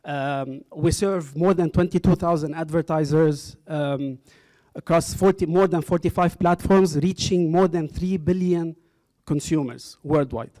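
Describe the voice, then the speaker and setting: Male workshop presenter, fairly high voice, relaxed and halting, hall mic. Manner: relaxed, with frequent ums and uhs. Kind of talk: conference presentation. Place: university lecture hall. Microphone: handheld microphone.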